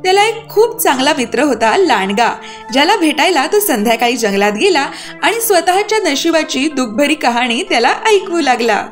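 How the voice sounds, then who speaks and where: A woman narrating a scripted children's fairy tale as an expressive storyteller. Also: high-pitched, normal speed. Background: music.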